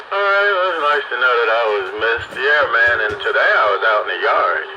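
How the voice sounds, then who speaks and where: A man's voice: medium pitch, expressive, brisk. American man chatting over noisy muffled radio. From city in the eastern US. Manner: folksy, colloquial, often addressing others as "man". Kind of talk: casual chat, storytelling. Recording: muffled radio link with loud static.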